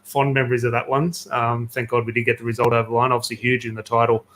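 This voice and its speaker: A man's voice: mid-range voice, level tone, fast, fairly bright tone, a little hiss or hum. A man hosting a casual football podcast, chatty and enthusiastic, telling stories with plenty of fillers. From Australia. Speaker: podcast host.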